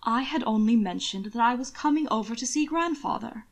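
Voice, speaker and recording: A woman's voice: on the high side, expressive, a little fast. A woman voicing a cunning aristocratic lady in an audiobook story, cool, formal and falsely innocent. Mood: feigned innocence.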